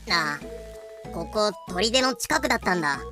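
A woman playing a brash, easily flustered pirate crew member with a casual, excitable manner in an audio drama. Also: medium pitch, moderate pace. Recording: music bed behind voice.

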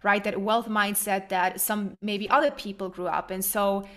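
A woman's voice: mid-range voice, fairly even intonation, normal speed, a bit bright. Casting: woman podcast host from Germany, mid-range voice, reflective conversational delivery. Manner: earnest, reflective, many fillers. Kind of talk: conversational interview.

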